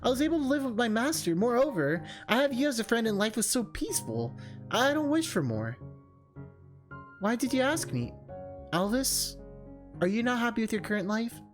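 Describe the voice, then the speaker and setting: Visual novel teen boy, acted by a streamer: friendly, curious, earnest, higher-pitched, over background music. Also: sing-song, moderate pace, lightly acted. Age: seventeen-year-old figure. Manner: earnest, eager. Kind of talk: visual novel character read aloud.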